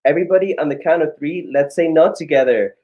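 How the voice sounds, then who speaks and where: A drag queen co-hosting a children's story time, reading aloud in a theatrical, encouraging, drawn-out way with playful banter. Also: medium pitch, dull audio.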